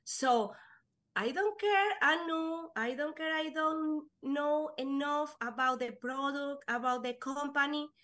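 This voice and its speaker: Spanish-native woman guest, young, high bright voice, unhurried, halting earnest English. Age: young adult. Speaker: guest, life insurance agent. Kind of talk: casual interview chat.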